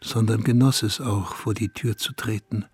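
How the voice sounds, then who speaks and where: An actor playing a brooding villager who recalls his lonely youth in a measured, reflective radio drama narration. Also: on the low side, steady tone, a little mellow, slightly rough. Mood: wistful, confiding.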